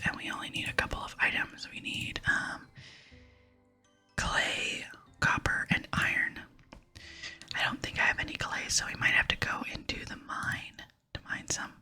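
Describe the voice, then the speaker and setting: A woman whispering breathily in a casual, tentative ASMR style as she comments on gameplay. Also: pushed and bright. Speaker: gaming ASMR creator.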